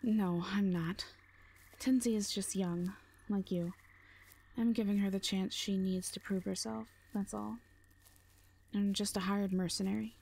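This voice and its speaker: A woman playing a calm, reassuring orc mercenary leader in an audio drama, speaking softly in measured, plain, short phrases. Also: mid-range voice, creaky. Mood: steady command. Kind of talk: audio roleplay drama acting.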